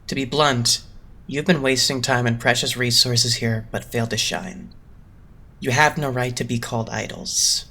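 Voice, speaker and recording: A man plays a young male executive in an anime game dub. He is haughty and cool, delivering formal lectures with open condescension at a middling pitch. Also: steady tone, normal speed, sharp tone. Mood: cold, blunt. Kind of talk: anime game fandub acting.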